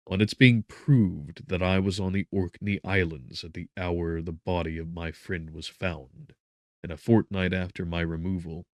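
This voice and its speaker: A man performing an anguished, guilt-ridden gentleman narrator in a gothic audiobook, theatrical, moving between hushed and forceful delivery with drawn-out words. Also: low voice, no echo, studio quality.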